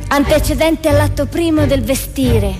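A woman delivers scripted theatrical narration in a high-pitched voice. Her phrasing is measured and formal, like declamation from a stage, and music runs underneath.

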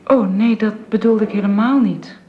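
An actress in her twenties playing a kind, gentle young woman of twenty-two who speaks in a reassuring way, on a dull old radio drama recording. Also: mid-range voice, moderate pace, slight background noise, close to an everyday voice. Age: 20s.

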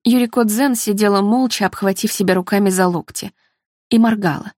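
A woman reading literary narration in a measured, expressive way. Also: medium pitch, no echo, studio quality. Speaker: audiobook narrator.

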